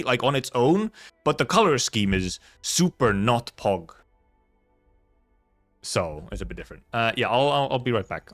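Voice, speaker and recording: A man's voice: mid-range voice, a bit bright, dry recording. A man streaming a video game with casual commentary, thinking aloud hesitantly and repeating words as he goes. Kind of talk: casual rambling gameplay commentary. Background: faint game music.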